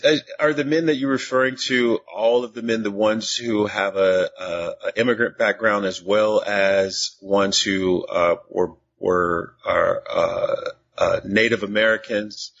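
Low-voiced hesitant male interviewer. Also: flat delivery, unhurried, a bit bright. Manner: hesitant, with many fillers. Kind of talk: interview questions. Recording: dull lo-fi recording.

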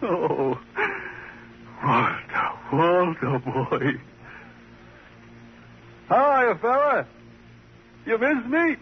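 An actor playing a troubled, tender, earnest ventriloquist in an old-time radio drama. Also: male voice, high-pitched, expressive, very slow, long pauses, full character voice. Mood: tearful relief. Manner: fragile, intense, earnest. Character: troubled, tender ventriloquist devoted to his dummy. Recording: muffled, hissy old radio recording.

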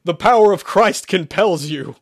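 Guy doing a melodramatic priest shouting orders, audiobook horror comedy, stern, dry sound. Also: deep voice, animated, moderate pace, slightly rough, dry recording, pitched below the performer's own voice, full character voice. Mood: commanding. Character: stern, dramatic male priest performing an exorcism.

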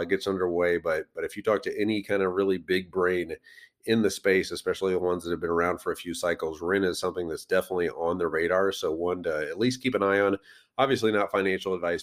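A man hosting a crypto podcast, rambling casually with many fillers while sick and losing his voice. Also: low voice. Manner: rambling, lots of fillers and restarts.

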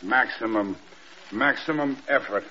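A man playing a formal, melancholy drunken colonel in an old radio drama, speaking deliberately and haltingly. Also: mid-range voice, bright, forceful tone. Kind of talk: mid-century radio drama acting. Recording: muffled old radio broadcast, faint noise.

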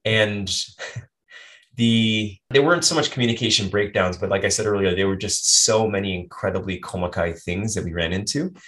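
A man's voice: on the low side, normal speed, a bit bright, rough recording. An American man, a health coach, answering interview questions in a thoughtful, rambling way with frequent restarts and asides. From United States. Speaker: guest, health and performance coach.

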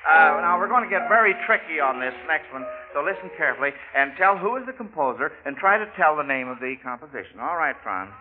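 High-voiced American male quiz host, chatty and encouraging, 1940s radio style, narrow vintage broadcast sound. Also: expressive, fast talker, few pauses, loud music behind the voice. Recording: old narrow-band radio recording.

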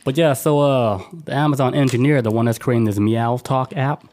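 A man's voice: mid-range voice, steady tone, fairly quick, a little mellow. An American man chatting casually as a podcast co-host, joking around in slangy, sweary language. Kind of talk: casual comedic chat.

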